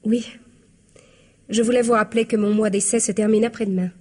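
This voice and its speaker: A woman's voice: medium pitch, steady tone, fairly quick, darkish tone, close to an everyday voice. An actress playing a reserved, patient female employee in a French radio drama, polite and discreet. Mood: tactful. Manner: discreet, hesitant, formal.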